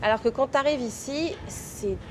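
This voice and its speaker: Young French woman, French accent, lively talkative interview guest. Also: sits high, normal speed, over a noisy crowd. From France. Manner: lively, chatty storytelling. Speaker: guest, real estate broker. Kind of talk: casual interview chat.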